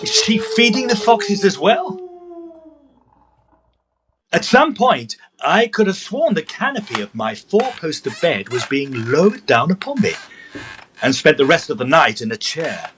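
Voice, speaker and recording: A man playing a brisk, sceptical gentleman ghost investigator who narrates a period audio drama with dry pomposity. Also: a little high, wildly swooping pitch, background noise. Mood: dry, wry.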